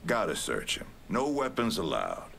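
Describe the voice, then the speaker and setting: An actor playing a surly, menacing security guard with a gruff, commanding manner. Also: male voice, deep voice, huge pitch swings, normal speed, fairly bright tone, heavily acted. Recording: clear noise and clicks behind.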